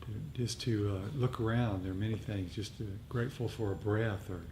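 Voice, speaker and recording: A man sharing casual reflections with a meditation group, halting and repeating small phrases as he goes. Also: fairly even intonation. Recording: noisy live recording with low rumble.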